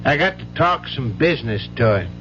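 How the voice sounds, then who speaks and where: A man's voice: medium pitch, very animated, normal speed, natural voice. An actor playing a middle-aged, folksy, hearty uncle who looks after his brother's family in old-time radio drama. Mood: grim, troubled. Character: genial bank-teller uncle, protective of his brother's family. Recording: dull, noisy old radio recording.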